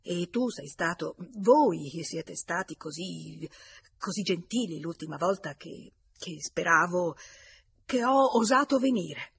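Woman narrator as nervous young man, stammering, pleading, audiobook character, rough husky tone. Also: on the high side, very animated, darkish tone, voice pushed up, heavily acted. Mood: flustered, pleading. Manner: stammering, halting, repeating words.

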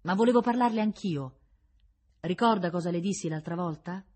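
A woman voicing an earnest, remorseful gentleman in a storybook, pleading and insistent. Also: fairly low pitch, normal speed, pitched above the performer's own voice, lightly acted. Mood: earnest, resolute.

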